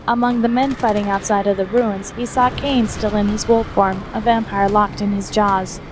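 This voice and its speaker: A woman's voice: mid-range voice, steady tone, normal speed, background noise. A woman reading scripted fiction aloud as the narrator of an action fantasy story.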